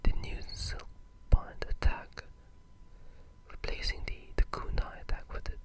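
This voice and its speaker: A gaming creator speaking in a breathy, unvoiced whisper, giving rambling but enthusiastic ASMR-style game commentary. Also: a little slow.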